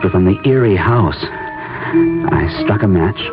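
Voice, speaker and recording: A muffled radio recording with music behind holds a shaken army captain. His low voice narrates earnestly and haltingly, full of suspense, in 1970s radio-play fashion. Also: animated, heavily acted. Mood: tense. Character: shaken, earnest male army captain recounting an eerie night. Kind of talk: first-person 1970s radio drama narration. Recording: muffled old radio broadcast.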